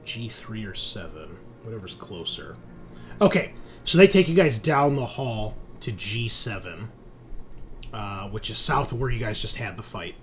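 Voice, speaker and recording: A man narrating a tabletop roleplaying game as game master, improvising in a halting way and pausing mid-sentence to think. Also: on the low side, animated, slight background noise.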